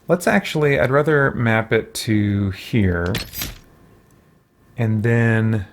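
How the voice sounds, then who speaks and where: A man's voice: on the low side, takes it slowly. Musing man thinking aloud while gaming. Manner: musing, tentative, talks to himself. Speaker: gamer hosting a let's play. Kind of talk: casual game commentary, thinking aloud.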